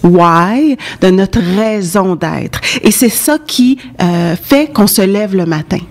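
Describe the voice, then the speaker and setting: A woman's voice: mid-range voice, expressive, slow, darkish tone. A woman with a Québécois French accent giving conversational advice as an interview guest, speaking emphatically to stress key points. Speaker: entrepreneurship consultant, show guest.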